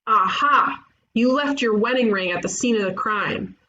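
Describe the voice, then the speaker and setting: A woman playing a brash, bossy ghost detective in an animated comedy table read, teasing and cocky. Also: fairly high pitch, moderate pace, lo-fi sound, a little hiss or hum. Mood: bossy, accusing. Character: brash, bossy young female ghost detective who loves puns.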